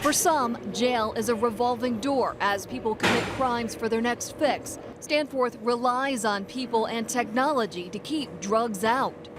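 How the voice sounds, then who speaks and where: A woman's voice: fairly high pitch, unhurried, few pauses, heavy background noise. A woman reporter reading scripted narration for a television news story.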